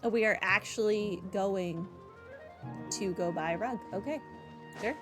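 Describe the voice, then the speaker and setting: Gamer lady chatting thru a playthrough, short low-key reactions, mid pitch, game music behind. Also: unhurried. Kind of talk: casual game commentary.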